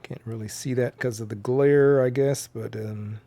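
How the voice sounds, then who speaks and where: A man giving casual, unscripted commentary as a radio hobbyist, low-key and halting as he thinks aloud. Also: fairly even intonation, mellow. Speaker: shortwave radio hobbyist presenting. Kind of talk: casual unscripted commentary while tuning and showing gear.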